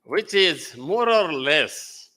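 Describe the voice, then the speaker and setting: Slow, halting Nepali-accented man. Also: a little high, sing-song, sharp tone. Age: older. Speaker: guest speaker. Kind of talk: formal ceremony speech.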